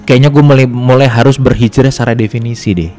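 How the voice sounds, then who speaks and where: A man's voice: mid-range voice, fairly even intonation, fast, mellow, faint music behind. A man with a Jakarta Indonesian accent telling his own story in a casual, slangy podcast conversation.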